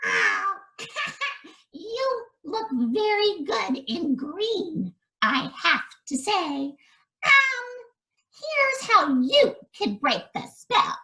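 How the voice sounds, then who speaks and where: A shrill, cackling old witch in a foul mood, shrieking her lines way up high. The voice swoops wildly and sounds bright and sharp, with a spiteful, gloating edge. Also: sing-song, extremely slow, pauses often, full character voice, poor audio.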